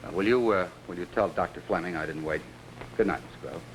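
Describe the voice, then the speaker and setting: An actor playing a courteous, mildly skeptical and reluctant detective in early 1950s television drama. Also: male voice, low voice, brisk. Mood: awkwardly polite. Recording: noisy 1950s television soundtrack.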